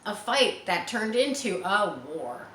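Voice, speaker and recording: A woman reading a children's chapter book aloud, animated, with chatty asides to young listeners. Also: medium pitch, slow pace, echoey room, background noise. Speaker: reader.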